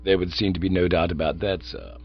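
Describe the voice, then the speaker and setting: A man's voice: low voice, steady tone, voice pushed down. A man doing a grave, formal manservant. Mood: measured. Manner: formal, measured, unflappable. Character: grave, deferential male valet, middle-aged. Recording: dull lo-fi recording with mains hum.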